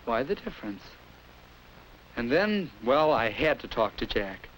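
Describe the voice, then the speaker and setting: A hissy 1950s film soundtrack carries a young American schoolboy narrating his own troubles. His voice is earnest and confiding, with lively swings in pitch. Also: measured pace, poor audio. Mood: reflective. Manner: earnest, confiding first-person storytelling.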